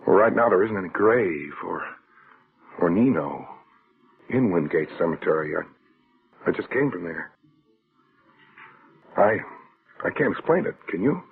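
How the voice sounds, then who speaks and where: A tinny vintage radio recording carries a hard-boiled man telling his murder story. He is terse and sardonic, with a low voice that swings dramatically, a haunted hero from a 1940s suspense play. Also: animated, measured pace, vocal fry, long pauses, slightly rough, exaggerated voice. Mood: uneasy, searching. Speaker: actor narrating as lead character. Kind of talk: 1940s radio thriller acting, first-person narration and dialogue. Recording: tinny old radio recording, loud music behind.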